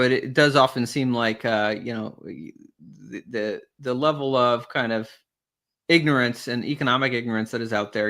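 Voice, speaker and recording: A man hosting an interview, talking in an animated, rambling way with many fillers. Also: mid-range voice. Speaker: podcast host. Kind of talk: conversational interview.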